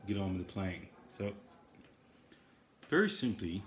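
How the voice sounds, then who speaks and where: A man giving a casual, unscripted demo of guitar effects gear, talking in halting fragments as he explains his setup. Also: low-pitched, sing-song, fairly bright tone, a little hiss or hum. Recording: echoey room, iPad recording. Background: processed guitar effects sounds.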